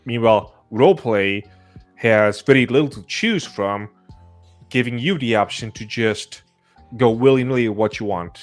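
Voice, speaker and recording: A man's voice: medium pitch, measured pace. A man hosting a casual discussion, summing up points in simple terms and piecing his phrases together as he moderates. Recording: music behind the talk. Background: background music.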